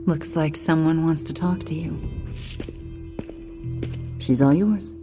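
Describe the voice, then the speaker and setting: An actress playing a gentle, reassuring, sisterly superhero with a soft, caring manner in a video game. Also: female voice, sits low, slow, over music. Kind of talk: video game cutscene acting.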